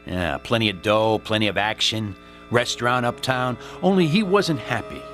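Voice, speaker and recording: Actor as a cocky, low-voiced hard-boiled avenger. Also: male voice, normal speed, fairly bright tone, faint music behind, natural voice. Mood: taunting, accusing. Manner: taunting, slangy, sardonic tough-guy delivery. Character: cocky, menacing male avenger posing as gangster's messenger. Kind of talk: hard-boiled crime radio drama acting.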